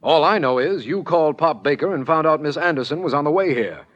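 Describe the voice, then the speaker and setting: An actor playing a brisk, no-nonsense official, blunt and wry, in a dull vintage 1940s radio drama recording. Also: male voice, a little high, expressive, a bit bright. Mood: firm, wry. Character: brisk, no-nonsense male official, the detective's ally.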